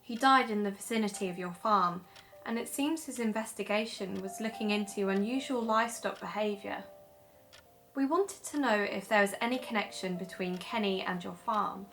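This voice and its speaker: A woman's voice: medium pitch, moderate pace, a bit bright, close to an everyday voice. A woman playing an earnest, conscientious investigative podcaster in an audio drama. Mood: polite, careful. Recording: music bed under the voice.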